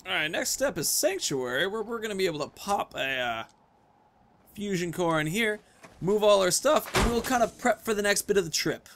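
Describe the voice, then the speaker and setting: Young male gaming host, rambling, jokey, lively pitch, highish voice, casual commentary. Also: moderate pace. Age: young adult. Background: game ambience, faint noise.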